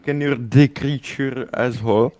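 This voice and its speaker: A man's voice: medium pitch, fairly even intonation, unhurried, a little mellow, no room sound. A man from the Czech Republic with a Czech accent, chatting casually in online game voice chat.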